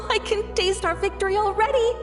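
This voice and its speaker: An actress playing a theatrical, scheming tabloid reporter in a video game, dramatic and insinuating. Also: female voice, very high-pitched, moderate pace, over music. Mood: gloating glee. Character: theatrical, gossip-hungry, gleefully scheming female tabloid reporter.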